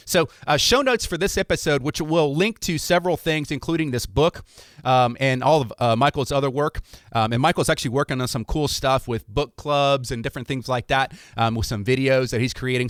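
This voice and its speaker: A man from Ohio hosts a podcast interview in an easygoing, chatty way, full of "uh"s and restarts. His voice sits at a middle pitch and sounds close and dry, with no room around it. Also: no room sound. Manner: relaxed, many fillers and restarts. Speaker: podcast host. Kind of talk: casual interview chat.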